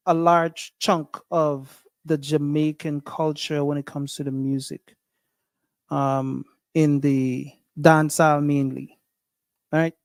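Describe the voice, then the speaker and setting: Guyanese-accented man, livestream host, unhurried, clear and fairly high voice, lots of ums. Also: dry recording. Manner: thinking aloud with many fillers and restarts. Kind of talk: casual livestream talk.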